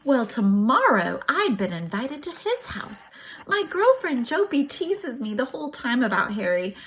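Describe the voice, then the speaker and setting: A woman reading a diary aloud in an expressive, storytelling manner, like a teacher reading to students. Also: high-pitched, a little slow, slight background noise.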